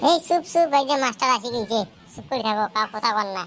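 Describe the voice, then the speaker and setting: A woman's voice: a little high, animated, fast. Woman voicing a rattling, cheeky cartoon school pupil, North Bengal accent. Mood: cheeky, boastful. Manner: brash, rattling recitation and backtalk. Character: cheeky, boastful, talkative village school pupil. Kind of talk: comic cartoon dubbing. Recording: loud noise behind the voice.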